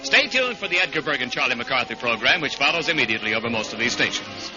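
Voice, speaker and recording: A man's voice: medium pitch, expressive, fast. A man reading scripted sign-off announcements in vintage radio style. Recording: dull vintage radio, loud music.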